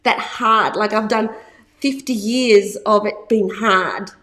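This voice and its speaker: A woman's voice: medium pitch, slow, sharp tone. A woman in her fifties, a business coach talking casually to camera, stressing points by repeating words and laughing often.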